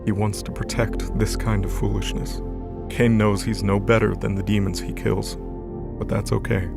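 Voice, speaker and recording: A man narrates an audio drama in a low, gravelly voice. His scripted storytelling stays fairly even in pitch, with music playing clearly underneath. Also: moderate pace.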